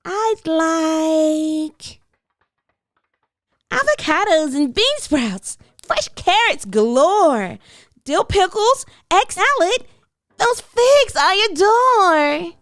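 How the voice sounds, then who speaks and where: A woman's voice: extremely high pitch, lively intonation, very slow, a bit bright, dry recording, clean studio sound, voice pushed up, exaggerated voice. A woman voicing a tiny, eager little girl who makes polite but bossy demands in a children's storybook. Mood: eager, insistent. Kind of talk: children's storybook read-aloud.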